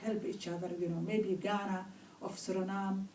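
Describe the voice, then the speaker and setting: Mellow Somali-accented woman in an echoey room. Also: medium pitch, level tone, moderate pace. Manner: non-native phrasing, frequent "you know". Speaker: guest, campaign ambassador. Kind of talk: earnest interview answers. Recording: roomy, distant mic, faint noise.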